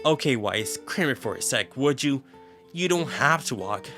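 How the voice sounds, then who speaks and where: A man's voice: mid-range voice, animated, moderate pace, sharp tone, soft background music, pitched above the performer's own voice. A man playing an irritable, weary male traveler in a game story, speaking casually and with exasperation. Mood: exasperated.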